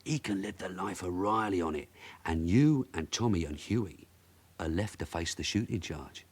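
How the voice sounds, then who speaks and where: An actor playing a shrewd, probing veteran police sergeant, in naturalistic radio drama style. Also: male voice, low-pitched, moderate pace, breathy edge. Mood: coaxing, probing. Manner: probing, knowing, colloquial. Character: shrewd veteran London police detective sergeant, persistent, coaxing.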